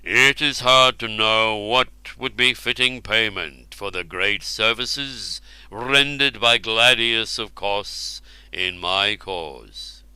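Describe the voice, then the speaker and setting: A man voicing a commanding, mighty king in a storybook reading, speaking slowly and weightily. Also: on the low side, sharp tone, smooth, no room sound, full character voice, poor audio. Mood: stern. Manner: slow, weighty and measured.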